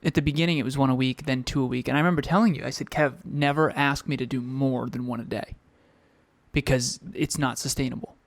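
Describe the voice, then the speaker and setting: A man co-hosting a podcast in casual chat, talking reflectively and filling pauses with um, recorded at home with a fan running. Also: medium pitch, fairly even intonation, dry recording. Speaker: podcast co-host.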